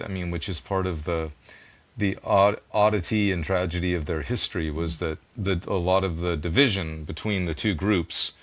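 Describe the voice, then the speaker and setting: A man's voice: low voice, a bit bright, no echo. A man guest in a conversational interview, earnestly explaining things at length and poking fun at himself, a native English speaker. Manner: earnest, explanatory, self-deprecating. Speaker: music producer and interview guest.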